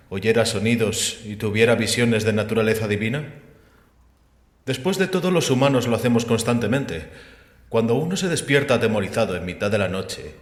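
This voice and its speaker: A man acting in a Spanish audio drama as a calm, sceptical, erudite figure, explaining in a measured, gently mocking way with rhetorical questions. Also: lowish voice, natural voice. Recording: echoey hall with long reverb.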